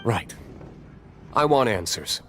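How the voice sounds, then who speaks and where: A man playing an aloof, cynical young tavern owner in a video game, speaking in a cool, dry, measured way. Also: medium pitch, lively intonation. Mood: stern, questioning. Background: background music.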